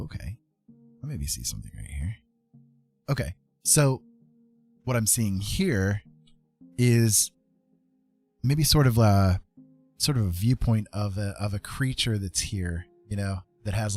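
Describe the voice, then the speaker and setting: Lowish voiced guy chatting thru a drawing tutorial, kinda rambly, thinks out loud, music playing behind him. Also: animated, measured pace, pauses often, slightly rough. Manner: rambling, informal, trailing tag questions. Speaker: art tutorial host.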